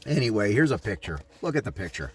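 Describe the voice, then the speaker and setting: A man's voice: lowish voice, sing-song, quick pace, husky. An American man reading aloud and adding his own commentary in an emphatic, preachy manner, like a sermon. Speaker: audiobook reader and commentator.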